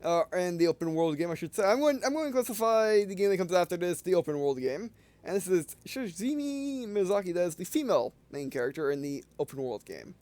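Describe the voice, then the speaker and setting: A man's voice: sits high, very animated, fairly quick, darkish tone, dry recording. A man hosting a gameplay video with casual, rambling, digressive commentary, often trailing off mid-sentence.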